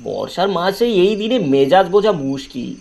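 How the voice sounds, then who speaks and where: A man's voice: high-pitched, very animated, normal speed, gravelly, heavy background noise. A man narrating a scripted horror story in a dramatic, storytelling way.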